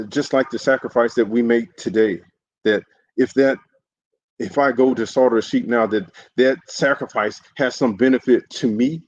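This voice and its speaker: A man, an imam, giving a livestreamed sermon in an earnest, halting way, with many fillers and restarts. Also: mid-range voice, a little mellow, dull audio. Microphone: solo livestream setup.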